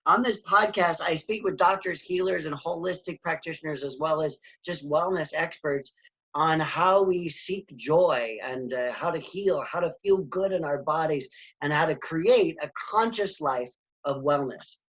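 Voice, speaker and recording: A man's voice: a little high, a little slow, a bit bright, slightly rough. Upbeat male podcast host, slightly muffled. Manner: upbeat, enthusiastic, playful. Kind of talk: casual interview hosting. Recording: slightly dull lo-fi sound.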